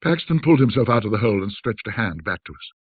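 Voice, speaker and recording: Husky, low-voiced man narrating, muffled sound. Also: sing-song. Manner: measured, formal, old-fashioned storyteller. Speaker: ghost story narrator. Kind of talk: scripted literary narration, classic ghost story reading. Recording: muffled band-limited audio, roomy echo.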